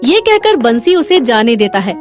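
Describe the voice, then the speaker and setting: Woman reading a bedtime moral story, fairly high voice, music clearly behind. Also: sing-song, moderate pace. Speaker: storyteller, narrator. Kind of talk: scripted moral story narration.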